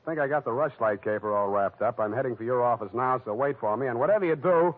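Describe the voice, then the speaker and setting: An actor playing a cynical, wisecracking private detective with a clipped, deadpan delivery in 1940s radio style. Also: male voice, mid-range voice, fast, natural voice. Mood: brisk, tough. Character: hard-boiled wisecracking male private detective, cool and cynical. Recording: muffled tinny old radio broadcast.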